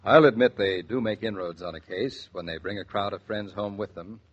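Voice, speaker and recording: A man with a husky voice announcing in the style of a 1940s sponsored radio show. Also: fairly low pitch, normal speed. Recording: dull 1940s radio transcription.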